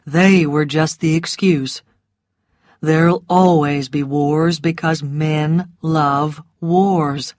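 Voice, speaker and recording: Worldly gentleman in a storybook, read by a woman. Lowish, unhurried, calm, cynical. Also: no room sound, studio quality. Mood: sombre, cynical.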